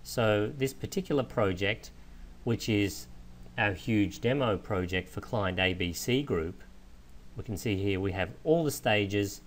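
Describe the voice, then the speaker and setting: A man with a fairly low voice talks through software step by step as an instructor. His delivery is methodical and explanatory. Noticeable background noise sits behind the voice. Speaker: software trainer. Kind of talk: instructional screen walkthrough narration. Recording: clear steady background noise.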